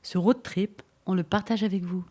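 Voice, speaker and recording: A woman presents a bicycle radio project in a lively, scripted way, running through lists with a brisk rhythm. Her voice sits in a middle pitch range.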